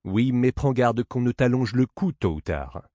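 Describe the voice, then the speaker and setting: A man as a sly, mocking servant, animated delivery. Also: mid-range voice, a little slow, dry recording, close to an everyday voice. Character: Grégoire, mocking, sly, sceptical male servant.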